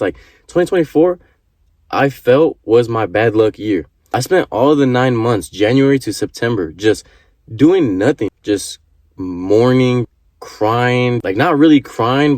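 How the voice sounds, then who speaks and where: A man's voice: mid-range voice, a little mellow, no echo, studio quality. A man in his early twenties giving an earnest motivational talk to camera, repeating his points and swearing casually. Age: 20s. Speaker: vlogger.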